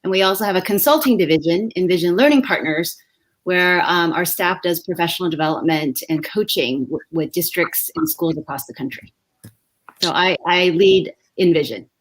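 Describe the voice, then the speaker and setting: A woman's voice: on the low side, low-quality recording. Reflective woman on a video call. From Vietnam. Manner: reflective, many pauses and "um" fillers. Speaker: education executive being interviewed. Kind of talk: live interview answers.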